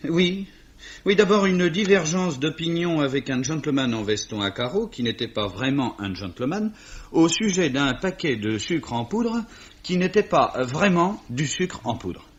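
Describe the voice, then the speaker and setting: Polite young clerk, muffled radio sound. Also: male voice, a little high, very animated, a little slow. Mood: apologetic, wry. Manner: courteous, hesitant, mildly wry. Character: polite, earnest young male clerk, mildly wry and stubborn. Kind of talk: 1950s French radio mystery acting. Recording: dull, muffled old radio recording.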